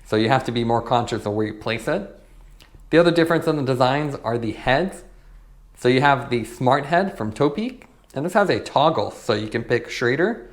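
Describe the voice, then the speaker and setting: Guy doing a casual product review, mid pitched voice, plain matter of fact explaining.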